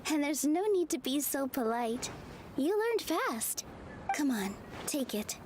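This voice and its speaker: An actress playing a gentle, cheerful young woman dancer in a fantasy video game, speaking warmly and politely. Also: high voice, very animated, unhurried, a little hiss or hum. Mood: warm, friendly. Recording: game audio under prominent music.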